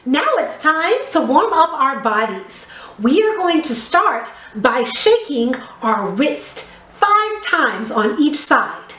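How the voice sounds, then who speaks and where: A high-voiced woman leads young children through a drama lesson. Her delivery is slow and very melodic, with carefully enunciated, expressive instructions. The room adds a noticeable echo. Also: a little hiss or hum. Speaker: children's theatre teacher. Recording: echoey room.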